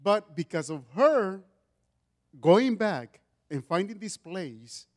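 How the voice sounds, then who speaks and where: A middle-aged man from Mexico with a Mexican accent, giving an earnest church testimony in a loud voice with many restarts. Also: high-pitched, big pitch swings, slow. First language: Spanish. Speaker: guest speaker giving testimony.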